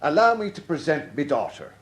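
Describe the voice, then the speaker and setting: A proud old Irish captain in a radio period drama. His delivery is florid and expansive, with a pitch that swoops about as he boasts. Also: sing-song, brisk, background noise. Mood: courtly, delighted. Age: older. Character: boastful, effusive old Irish army captain and fencing master.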